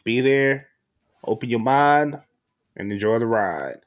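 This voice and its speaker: Man, podcast host, highly animated intonation, informal slang, promotional casual chat. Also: slow.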